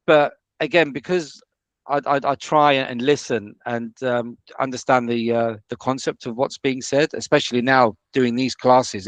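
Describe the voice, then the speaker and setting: A man's voice: mid-range voice, no room sound. Hesitant man with many restarts. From United Kingdom. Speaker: student guest. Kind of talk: casual interview.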